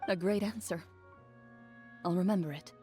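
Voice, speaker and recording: A woman playing a composed young duelist and hunter in a video game, speaking in a calm, formal, measured way. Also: on the low side, soft background music, lightly acted.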